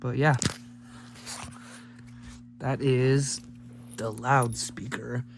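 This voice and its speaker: Male teardown host, mid-pitched and flat, casual rambling commentary with offhand jokes, clearly noisy humming recording. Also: extremely slow. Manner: rambling, jokey offhand remarks. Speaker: host of a gadget teardown video.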